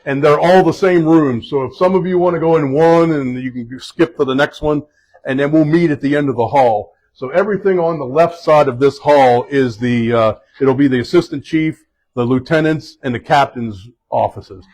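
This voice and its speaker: A fire chief leading a group around a building indoors, speaking loudly in a casual, halting way with many fillers and restarts. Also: male voice, fairly high pitch, low-quality recording. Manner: loud voice. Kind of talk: casual spoken tour guiding.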